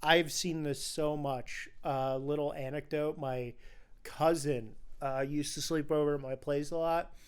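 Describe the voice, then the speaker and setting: A man's voice: fairly high pitch, fairly even intonation, unhurried, clean tone. A young man hosting a casual film podcast, talking in an enthusiastic, rambling way. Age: young adult. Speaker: podcast host.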